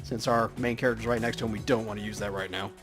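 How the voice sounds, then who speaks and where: A man's voice: lowish voice, fairly even intonation, fast. A man hosting a gaming video with casual let's play commentary. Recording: game music behind the voice. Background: faint game music.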